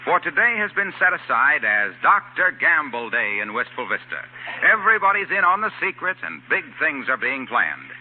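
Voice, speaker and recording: A man's voice: fairly high pitch, big pitch swings, moderate pace. A man working as a radio announcer, reading introductions and sponsor copy with polished, emphatic 1940s salesmanship. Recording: tinny 1940s radio broadcast.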